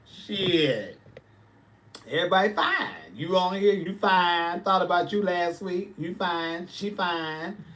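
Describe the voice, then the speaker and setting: A man's voice: high voice, slow pace, fairly bright tone, a little hiss or hum. A man, chatting casually on a livestream and answering viewers' comments with sass. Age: 40s. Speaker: livestream host.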